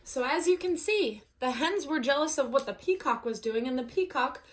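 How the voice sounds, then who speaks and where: A woman storyteller reading aloud to children in an expressive, dramatic way, as at bedtime story time. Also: high-pitched, normal speed.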